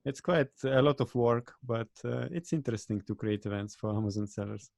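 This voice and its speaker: A man's voice: mid-range voice, vocal fry, darkish tone, no echo. Lithuanian-accented man, dry close sound. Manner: halting, with many uh fillers and small grammar slips. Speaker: guest, event organizer. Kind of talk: casual interview chat.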